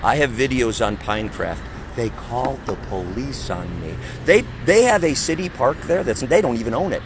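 A man's voice: mid-range voice, big pitch swings, normal speed, background noise. A man preaching outdoors to a small group, talking emphatically, posing rhetorical questions and acting out other people's voices. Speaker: street preacher. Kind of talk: conversational street preaching. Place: outdoors in a parking area.

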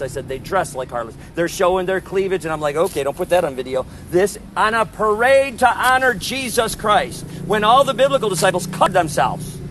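A loud, emphatic man preaches by a street, citing verses and driving each point home. His voice sits a little high. Faint outdoor traffic hums behind him. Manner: emphatic, scripture-quoting, insistent. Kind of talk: impassioned preaching conversation.

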